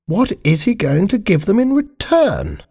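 An elderly queen from a storybook, acted out by a male reader, in a voice lifted well above a man's range. She is proper and motherly, with a worried edge, and her lines swing in pitch. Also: huge pitch swings, measured pace, vocal fry, warm tone, slightly rough, exaggerated voice. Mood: dry, wondering.

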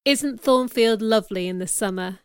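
A woman voicing a commanding, ardent gentleman in a storybook, with an earnest, emphatic delivery. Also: fairly high pitch, moderate pace, clear, resonant tone, dry recording, clean studio sound, lightly acted. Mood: friendly. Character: Mister Rochester, commanding, ardent gentleman in love.

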